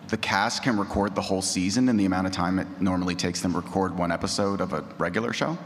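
A man with a low voice talks in a reverberant hall with faint background noise. His panel delivery is casual and rambling, like someone thinking aloud among peers. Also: a bit bright. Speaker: panelist, audio drama creator. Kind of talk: conversational panel discussion. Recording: echoey noisy hall with room ambience.

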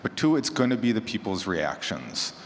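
A man with a fairly low voice gives his opinions in a casual panel discussion. He is conversational but emphatic. The recording has hall echo and faint noise behind him. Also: sing-song, normal speed. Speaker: horror fiction podcaster on a panel. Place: large echoey room at a convention panel.

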